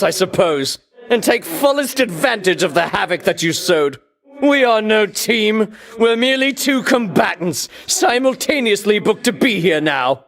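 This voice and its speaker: A vainglorious champion of ancient Greece, easily outraged and utterly pompous. His high-pitched voice swoops dramatically through haughty, old-fashioned declarations, in the grand theatrical manner of a video game rival. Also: a bit bright, heavily acted. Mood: petulant, sarcastic, then sulking, hurt. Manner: bombastic, grandiloquent, archaic diction, haughty. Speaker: video game character voice actor.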